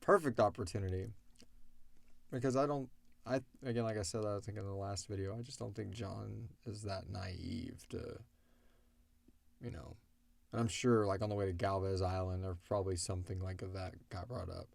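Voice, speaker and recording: Man, low voice, animated casual co-host, sweary, dry close sound. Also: moderate pace, vocal fry, dry recording. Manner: animated, swearing, acts out imagined dialogue. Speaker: co-host of an audio drama Q&A show. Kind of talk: casual chat answering fan questions.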